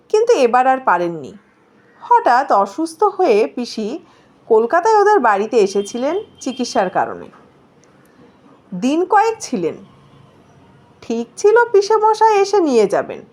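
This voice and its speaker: A woman reading a story aloud as scripted narration. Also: on the high side. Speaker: story reader.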